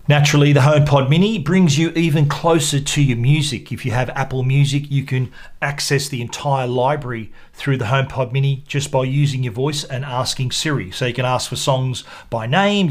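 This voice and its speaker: A man presenting a product review in a measured, explanatory way. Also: medium pitch. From Australia. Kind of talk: product review narration to camera.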